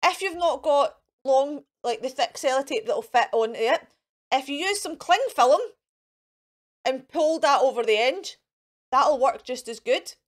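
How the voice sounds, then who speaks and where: A Scottish woman with a fairly high voice hosts for young children. She talks in a chatty, encouraging way, as if straight to the viewers at home. Her phrases are simple and often repeated, with little questions to keep the children involved. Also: lively intonation, unhurried. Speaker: children's storytime host. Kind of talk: chatty children's craft and activity presenting to camera.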